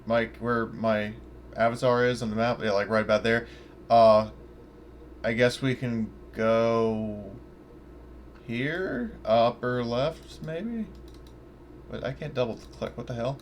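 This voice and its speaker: A man's voice: mid-range voice, steady tone, slow pace. A man talking casually and informally, swearing now and then, as a player in an online tabletop roleplaying session. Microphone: online voice chat mic. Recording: faint noise on a home mic.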